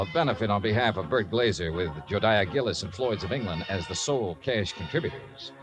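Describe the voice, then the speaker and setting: An actor playing a wry, skeptical insurance investigator who also narrates, in a 1940s radio drama. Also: male voice, medium pitch, lightly acted, poor audio. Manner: dry, skeptical, hesitant.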